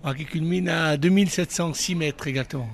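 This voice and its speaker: A man's voice: fairly high pitch, brisk, vocal fry, a little hiss or hum. A man running a restaurant, giving short, hesitant answers in an interview. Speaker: restaurant owner.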